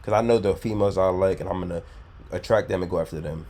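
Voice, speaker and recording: A man with a low voice hosts a casual reaction video. He talks bluntly and preaches his views, with plenty of swearing and filler. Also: fast talker, a little mellow. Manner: blunt, profane, preachy advice-giving.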